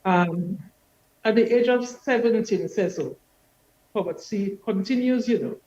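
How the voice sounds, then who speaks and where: A woman, a podcast guest telling her life story in a reflective, unhurried way. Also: mid-range voice, warm tone, highs cut off. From Dominica. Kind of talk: personal life story in an interview.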